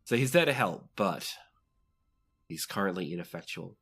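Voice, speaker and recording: A man's voice: low voice, animated, normal speed, a bit bright, breathy edge. A man running a tabletop roleplaying game as game master, narrating the action off the cuff and putting questions to the players.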